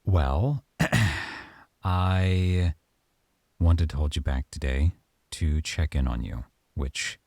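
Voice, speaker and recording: Deep, gravelly male lead for a close-mic ASMR roleplay, dry sound, calm and measured. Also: no echo. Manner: calm, measured, softly stern. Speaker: audio roleplay performer as a professor.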